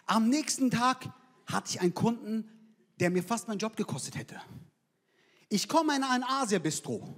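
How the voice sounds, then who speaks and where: Brash comic on a hall stage. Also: male voice, high-pitched, expressive, moderate pace, long pauses, a bit bright. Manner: brash, slangy, punchy delivery. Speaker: stand-up comedian. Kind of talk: stand-up comedy set. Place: large theater stage with live audience. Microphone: handheld microphone. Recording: live hall with crowd reactions.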